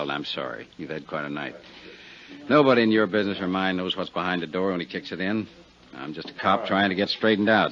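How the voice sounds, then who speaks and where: A man's voice: sits low, fairly bright tone. A man playing a blunt, sardonic, hard-pushing American police lieutenant in a 1950s radio detective drama. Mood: apologetic, calming. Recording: muffled vintage 1950s radio recording.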